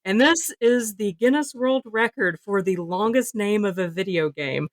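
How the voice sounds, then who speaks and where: A woman's voice: medium pitch, normal speed, dry recording, clean studio sound. A woman co-hosting a casual podcast discussion, informal and giggly, with lots of "like" in her speech. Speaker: podcast co-host.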